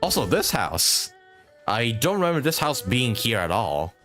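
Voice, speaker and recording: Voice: mid-range voice, big pitch swings, normal speed, fairly bright tone, soft background music. A game streamer giving casual, giggly live commentary while musing aloud.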